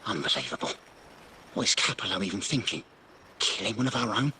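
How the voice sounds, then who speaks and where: Uneasy, sharp-voiced henchman. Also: fairly low pitch, big pitch swings, a little fast. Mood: shocked, anxious. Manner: tense, urgent. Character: uneasy henchman of a crime family, questioning, loyal to comrades. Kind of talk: dramatic video game voice acting. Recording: noisy, night insect ambience behind.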